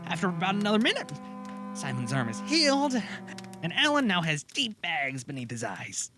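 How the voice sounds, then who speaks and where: A man's voice: high voice, wildly swooping pitch, moderate pace, over music. A man narrating a scripted amateur audio drama, describing the action in the present tense.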